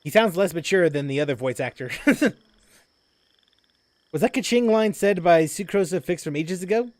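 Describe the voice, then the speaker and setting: A man's voice: sits high, animated, normal speed, darkish tone, no echo. A man casually chatting while streaming a game, rambling with lots of fillers and restarts as he reads chat aloud.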